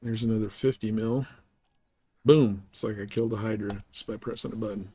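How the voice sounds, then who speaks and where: A man's voice: on the low side, steady tone, a little fast, mellow, dry recording. A man hosting a live game stream, chatting casually with viewers and explaining things in colloquial language. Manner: colloquial, explanatory, giving advice. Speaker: livestream host.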